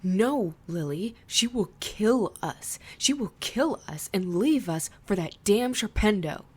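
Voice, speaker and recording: A woman voicing an angry teenage boy with a sharp, loud, insistent delivery. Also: mid-range voice, animated, slow pace, husky.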